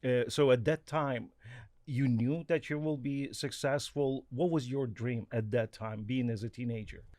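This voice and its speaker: A man hosts a casual interview in a mid-pitched voice. He speaks haltingly, pausing and restarting as he looks for the right words in a language that is not his first. The recording is dry. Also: steady tone, a little mellow, dry recording. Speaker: podcast host.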